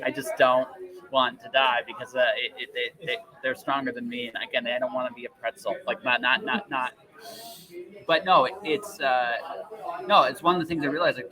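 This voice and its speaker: Give this man a mid-pitched voice and the loose, halting delivery of a casual interview host. He circles back, repeats words and pokes fun at himself, with clear crowd chatter behind him. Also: rough recording. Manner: rambling, repetitive, halting, self-deprecating.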